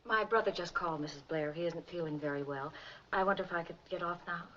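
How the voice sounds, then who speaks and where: An actress playing a polite, sly housemaid in a 1940s film. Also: female voice, lowish voice, fast talker, pushed and bright. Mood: politely pleading. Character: polite, sly housemaid hiding her motives. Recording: muffled, very noisy 1940s film soundtrack.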